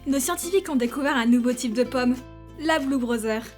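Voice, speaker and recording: A woman's voice: high voice, fairly quick, darkish tone, faint music behind, close to an everyday voice. A woman playing an earnest activist and announcer in a scripted audio drama. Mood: promotional. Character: female narrator and remorseful activist.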